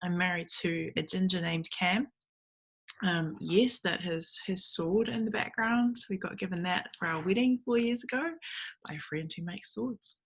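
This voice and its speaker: Woman, New Zealand accent, young adult, casual recorded talk, slightly low pitch. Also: normal speed, vocal fry, breathy edge. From Fiji. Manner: chatty, relaxed, frequent ums. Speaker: guest speaker at a ministry meeting. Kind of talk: recorded talk to a church group.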